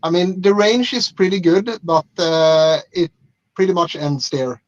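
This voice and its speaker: A man's voice: fairly high pitch, a little slow. A man from Sweden, calling in while driving, blunt and hesitant with many uh's. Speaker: caller. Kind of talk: casual chat. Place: driving a car. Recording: dull lo-fi call audio.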